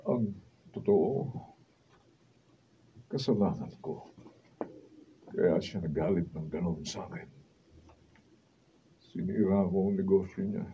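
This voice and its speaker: A man's voice: low-pitched, takes it slowly, long pauses. An actor with a Filipino accent playing a proud, well-off husband and father who has been humbled, in a radio drama. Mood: remorseful, earnest. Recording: dull, noisy, distant studio camera recording.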